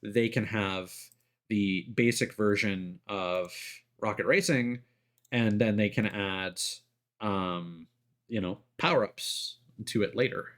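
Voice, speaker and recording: A man giving casual, explanatory livestream commentary on a video game, thinking aloud with hesitant pauses. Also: on the low side, fairly bright tone. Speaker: video game live streamer. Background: music behind the voice.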